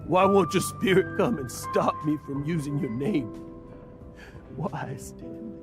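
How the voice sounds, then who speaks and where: Insecure adventurer dude for anime game, bit high, real slow n dramatic. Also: male voice, animated. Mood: grieving. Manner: halting, dramatic. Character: boastful, insecure, guilt-ridden adventurer man. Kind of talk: anime video game voice acting. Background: game music.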